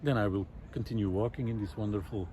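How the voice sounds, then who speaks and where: A man's voice: fairly low pitch, fairly even intonation, quick pace, mellow, slight background noise. A man giving an unscripted, reflective monologue outdoors in a wooded park, speaking in a thoughtful, hesitant way. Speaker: vlogger.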